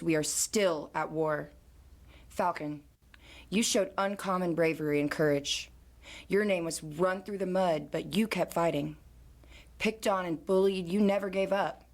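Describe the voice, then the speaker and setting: Elderly female chief and grandmother played by a woman, proud, formal, measured, slightly low register, audio drama.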